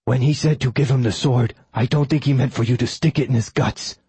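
A man voicing a wry talking dog, a hero's loyal companion, with a casual deadpan delivery in an audiobook. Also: mid-range voice, fairly quick, breathy edge, no echo. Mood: dry, approving.

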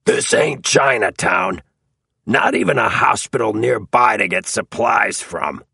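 A man voicing a rough, menacing male gang thug, talking in coarse, threatening slang for an audiobook. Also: lowish voice, animated, measured pace, sharp tone, dry recording, clean studio sound, pitched above the performer's own voice, exaggerated voice. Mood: businesslike menace.

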